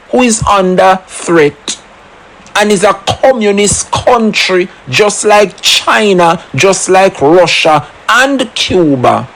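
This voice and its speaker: A man's voice: sits high, fairly bright tone, rough recording. A man giving opinionated solo commentary, full of rhetorical questions and emphatic asides. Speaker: commentary channel host.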